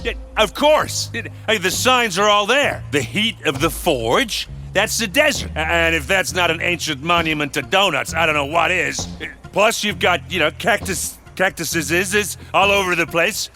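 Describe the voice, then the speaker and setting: An actor voicing a goofy, excitable, good-natured sidekick in a comic video game, blustery and stumbling over words. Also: male voice, sits high, moderate pace, faint music behind. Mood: defensive, excited.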